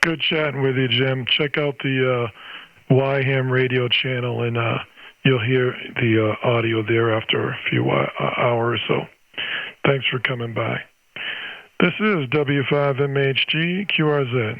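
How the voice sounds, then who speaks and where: A man's voice: medium pitch, steady tone, measured pace, lo-fi sound, a little hiss or hum. A man in his sixties chatting on amateur radio in a friendly, folksy way, full of exclamations, over band-limited radio audio. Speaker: amateur radio operator and channel host. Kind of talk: friendly on-air radio contact chat.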